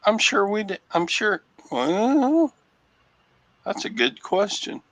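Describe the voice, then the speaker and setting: A man with a Texan accent chatting casually on a video call livestream, joking dryly and reading aloud in a flat way. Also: high-pitched, slow, no room sound. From Texas. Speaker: reseller guest. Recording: dull lo-fi video call audio.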